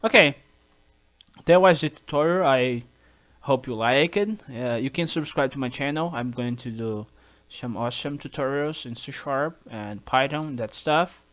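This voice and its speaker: A man with a Brazilian accent talks through a programming tutorial in English, which is not his first language. He speaks at a very slow, halting pace, full of fillers and restarts, at a middling pitch, with keyboard typing behind him. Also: fairly bright tone. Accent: Brazilian Portuguese. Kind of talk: screen-recorded coding tutorial.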